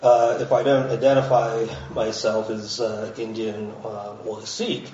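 A man from the United States introducing himself as a panelist, speaking haltingly with many uhs and restarts. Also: lowish voice, flat delivery, a little mellow, slight background noise. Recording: distant, dull, very echoey room.

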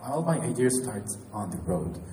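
A pianist and composer from Quebec with a Québécois French accent, introducing his show in a halting, casual way with restarts. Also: medium pitch, very animated. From Quebec, Canada. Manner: halting, with restarts and light laughs. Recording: echoey hall from far off, noisy.

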